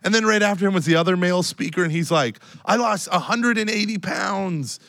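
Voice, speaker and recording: An American man with an allergy-hoarse voice, co-hosting a live podcast with comic storytelling and wry teasing. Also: high-pitched, brisk, fairly bright tone, dry recording, studio quality. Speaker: podcast co-host and conference speaker. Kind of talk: comic storytelling, casual banter. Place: on stage before a live audience.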